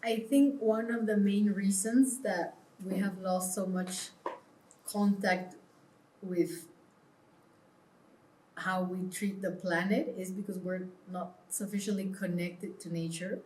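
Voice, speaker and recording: A woman's voice: mid-range voice, fairly even intonation, slow, darkish tone, a little hiss or hum. A woman from Peru speaking as an interview guest, explaining her views earnestly in a conversational way. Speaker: interview guest, clothing brand founder. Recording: roomy, echoing sound.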